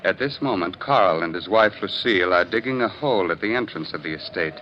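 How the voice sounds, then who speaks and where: An actor playing a slyly jovial, macabre host of a 1940s radio horror show, mock-polite and teasing. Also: male voice, low voice, animated, fairly quick, slight background noise. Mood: ominous storytelling. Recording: dull 1940s radio recording with music.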